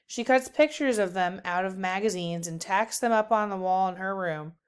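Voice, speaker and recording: A woman's voice: mid-range voice, moderate pace, clear, resonant tone. A woman narrating a children's book aloud as a storyteller.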